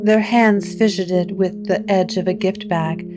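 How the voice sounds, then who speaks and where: Slow, measured woman narrating gothic fiction. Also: mid-range voice, fairly even intonation. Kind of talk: scripted gothic story narration. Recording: clear music bed under the voice.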